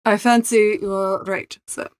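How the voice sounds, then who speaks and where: An unflappable English manservant, played by a woman reader, speaks softly and formally. He keeps a measured, discreet, deferential tone in a dry storybook recording. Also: medium pitch, steady tone, clear, resonant tone, dry recording, lightly acted. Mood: politely composed. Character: unflappable, deferential English valet, a male servant. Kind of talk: audiobook character acting.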